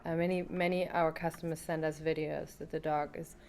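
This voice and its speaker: A woman's voice: sits low, little pitch movement, fairly quick, a little mellow, a little hiss or hum. A woman guest in an interview, speaking earnestly with some non-native phrasing. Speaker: guest, pet supplement founder. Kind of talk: casual interview chat.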